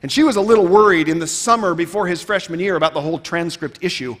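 Man, 30s, keynote speaker; higher voice, emphatic rhetorical delivery, long hall reverb. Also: fast talker. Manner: emphatic, rhetorical, swinging between loud and quiet. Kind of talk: conference keynote speech. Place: stage of a large conference hall. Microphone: headset mic.

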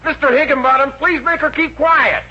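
A man's voice: very high-pitched, fairly even intonation, normal speed, bright, forceful tone, heavily acted. A man playing a boastful, easily rattled golfer who blusters in exasperation in an old radio comedy. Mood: exasperated. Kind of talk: 1950s American radio comedy acting. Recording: dull, hissy 1950s radio recording.

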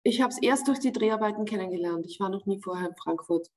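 A woman in her forties with an Austrian accent, speaking in a lively, emphatic way as a guest on a video-call interview. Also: mid-range voice, fast talker. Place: room at home. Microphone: video call webcam.

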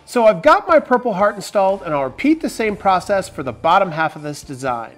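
A man's voice: sits high, sing-song, fairly quick, a bit bright, soft background music. A man in his forties, a woodworking host, narrating a step-by-step tutorial in an upbeat, emphatic way in a large workshop on a lapel mic. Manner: upbeat, emphatic sentence openers. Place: large workshop with wooden walls.

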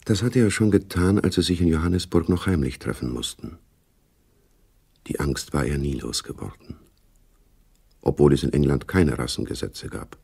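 A man narrating a German radio play as a sober, observant storyteller, with a dark, husky, gravelly voice. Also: extremely low pitch, normal speed, long pauses, exaggerated voice.

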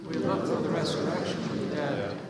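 A priest reciting the creed in a measured way, with the congregation joining him. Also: male voice, a little high, heavy background noise. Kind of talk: leading the creed. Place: church sanctuary. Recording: echoey church from far off.

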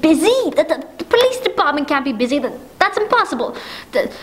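A woman's voice: high voice, sing-song, brisk. A young woman acting an imperious, nervous wife in a 1940s-style radio melodrama, stammering and breathless with panicky indignation. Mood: desperate. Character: frantic, imperious, nervous invalid wife on the telephone. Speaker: amateur actor in a stage adaptation of a radio play. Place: dark stage, indoors. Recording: clearly noisy amateur stage recording.

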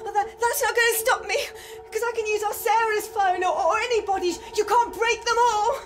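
A woman's voice: extremely high pitch, fairly even intonation, brisk, background noise. An actress playing a frightened but defiant girlfriend in a modern radio drama, insistent and tearful.

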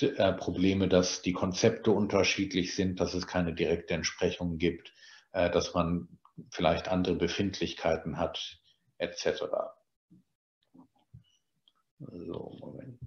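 A man's voice: sits low, darkish tone. A middle-aged bilingual man, raised in Germany and England, presenting an online lecture from his home study with many "äh" fillers. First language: German and English, bilingual. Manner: explains slide points, frequent "äh" fillers. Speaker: translator giving a presentation. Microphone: webcam on a video call. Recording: roomy, dull lo-fi sound.

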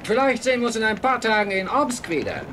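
An actor playing a polite, halting British gentleman reporter in a radio play. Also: high voice, big pitch swings, a little hiss or hum. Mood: polite, curious. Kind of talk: German radio play acting.

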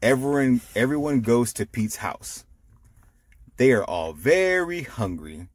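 A man reading a picture book aloud to children, explaining it simply with animated asides. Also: mid-range voice, a little slow, no echo. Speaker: storytime reader.

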